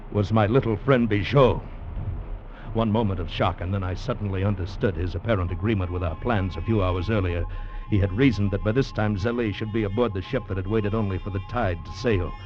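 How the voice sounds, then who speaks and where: A low, grave male voice from an actor plays a convict recounting a penal-colony tale. The delivery is measured and dramatic in old radio-drama fashion. It comes through a dull vintage recording with prominent music behind it. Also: lightly acted. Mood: somber, ominous. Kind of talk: 1940s radio drama narration and acting.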